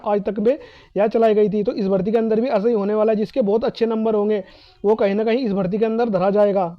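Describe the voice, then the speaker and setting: A man's voice: high-pitched, lo-fi sound. A man giving an informal explainer to exam candidates, emphatic, repeating his points and asking himself questions. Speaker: exam cutoff advice presenter.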